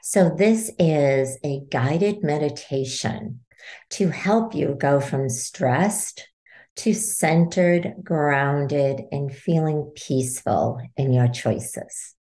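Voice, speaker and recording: Slow, low-voiced woman guiding meditation. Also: dark tone. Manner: soothing, unhurried instruction. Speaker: podcast host and meditation guide. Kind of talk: guided meditation, spoken instruction.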